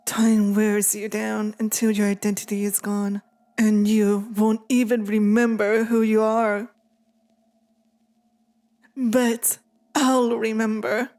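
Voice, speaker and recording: Actress as taunting she-demon, ASMR roleplay; mellow, unhurried, intimate and menacing, with music underneath. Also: mid-range voice. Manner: slow, teasing, menacing murmur to the listener. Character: possessive, taunting female sleep paralysis demon.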